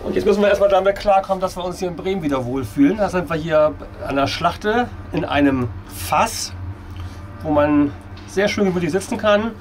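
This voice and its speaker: A man's voice: high-pitched, brisk, slight background noise. A man in his forties with a German accent, vlogging casually to a camera at arm's length in a small restaurant booth. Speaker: family vlogger. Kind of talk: casual vlog chat to camera. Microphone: camera held at arm's length.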